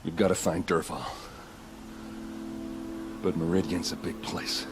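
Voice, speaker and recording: Young warrior, loyal younger brother: low voice, earnest, grief-struck, game drama. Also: male voice, steady tone, background noise. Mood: somber resolve. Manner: halting, pleading, stammering.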